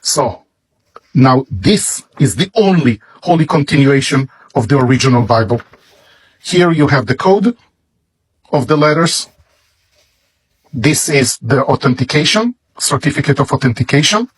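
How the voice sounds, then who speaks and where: Guy with a hebrew accent showing off a bible, slow and careful, kinda husky. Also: mid-range voice, animated. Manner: slow, deliberate, reverent. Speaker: seller presenting a bible. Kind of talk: product demonstration.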